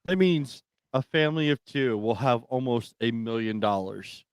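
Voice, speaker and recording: A man's voice: mid-range voice, expressive, normal speed, clean tone, dry recording, clean studio sound. A man co-hosting a talk show, chatting casually and giving opinions in a blunt, profane way. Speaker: talk radio co-host.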